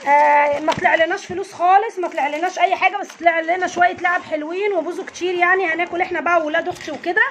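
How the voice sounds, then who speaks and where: امرأة مصرية سريعة الكلام بصوت عالٍ. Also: female voice, extremely high pitch, fairly even intonation, very fast, few pauses, a bit bright, slight background noise. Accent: Egyptian Arabic. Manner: chatty, coaxing the kids along. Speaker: channel host. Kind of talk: casual unboxing chat with children. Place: home, indoors, family around. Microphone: phone held by hand.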